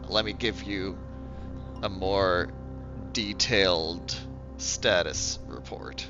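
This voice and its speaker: Low gravelly guy streaming a game, stuffed up and losing his voice, talks slow, dull lo-fi sound with music behind. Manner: low-key, rambling, self-mocking. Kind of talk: casual game commentary.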